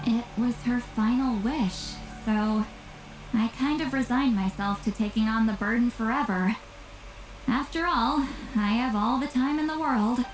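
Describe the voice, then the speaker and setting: A woman acts as an aloof, ageless shaman woman from a fantasy game. Her high voice explains things with dry, wistful reserve. It rings in a roomy echo with game music clearly behind it. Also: a little slow, natural voice. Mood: resigned. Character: aloof, reclusive two-hundred-year-old shaman woman with youthful looks. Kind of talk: scripted video game voice acting.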